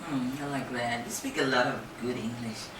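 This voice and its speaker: A man's voice: medium pitch, fairly even intonation. A man hosting a radio interview, playful and teasing with his guest. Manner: playful, teasing, quick to laugh. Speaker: radio show host. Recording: echoey room, distant mic, background noise.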